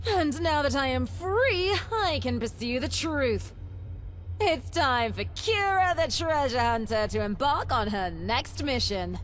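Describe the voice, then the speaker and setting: A woman playing an eccentric, excitable, boastful young treasure hunter in a video game, chatty and theatrical with dramatic emphasis. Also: sits high, a little slow. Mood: triumphant, eager. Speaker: game companion character.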